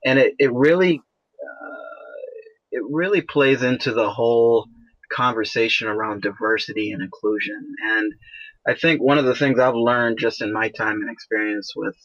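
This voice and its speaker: A man's voice: medium pitch, normal speed, fairly bright tone, no room sound, lo-fi sound. A man from Canada hosting a podcast, asking a long, rambling question full of restarts and "you know". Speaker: podcast host. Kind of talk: casual podcast interview.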